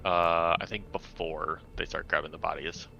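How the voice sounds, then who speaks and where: A man's voice: low voice, steady tone, moderate pace, pushed and bright, lo-fi sound. A man chatting casually as a player in a tabletop game. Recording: clearly noisy background.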